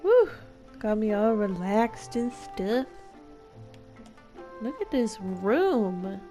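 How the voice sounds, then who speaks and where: A woman's voice: medium pitch, very animated, extremely slow, warm tone. A woman giving casual gameplay commentary, playful and slangy, reacting in short quips. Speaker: gameplay streamer. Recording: faint game music behind.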